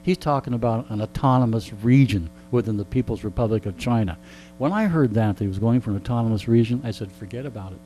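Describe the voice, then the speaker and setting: An older man tells animated anecdotes in a casual interview, repeating phrases and often checking in with a short "okay?". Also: mid-range voice, quick pace, low-quality recording. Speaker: radio veteran being interviewed. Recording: clear background noise with hum.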